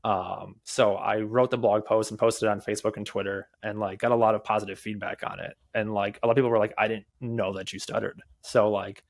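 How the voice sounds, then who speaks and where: A young man who stutters, answering interview questions candidly as a podcast guest, with halting restarts, repeated words and many fillers. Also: fairly low pitch, level tone, vocal fry. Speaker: podcast guest, mobile developer and conference speaker.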